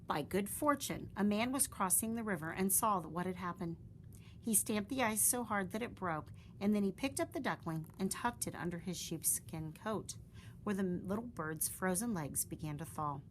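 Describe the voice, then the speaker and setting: A woman reading a children's fairy tale aloud in a gentle, expressive storytelling manner. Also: mid-range voice, moderate pace, slight background noise, low-quality recording.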